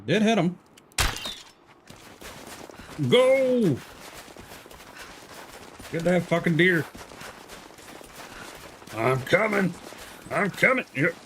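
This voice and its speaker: A man gives casual live commentary as he plays a game. His pitch moves around a lot while his pace stays slow, and his asides are dryly playful. There is faint noise behind him. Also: lively intonation, a bit bright. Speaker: game streamer.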